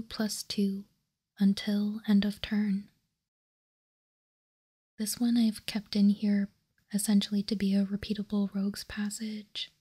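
A woman whispering in a hushed, calm and deliberate ASMR style as she gives card-by-card commentary, close to the microphone. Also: mid-range voice. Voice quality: breathy, unvoiced whisper. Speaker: host of a card game ASMR channel.

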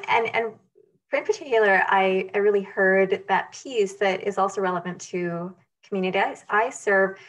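A woman's voice: medium pitch, fairly bright tone, rough recording. A woman moderating a webinar over a video call, thanking speakers and posing questions with some hesitant fillers.

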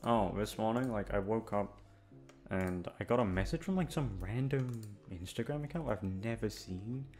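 A man's voice: lowish voice, animated, normal speed, a little mellow. A young man chatting casually and aimlessly to his listeners, self-deprecating, hesitant and sweary. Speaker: audio creator and voice actor. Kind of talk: rambling casual chat to listeners.

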